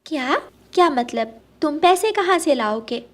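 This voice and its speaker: A high-pitched mother sparrow voiced by a woman in a cartoon. She is caring yet firm, and speaks in a motherly way. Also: expressive, measured pace. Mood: suspicious. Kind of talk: Hindi cartoon story dubbing.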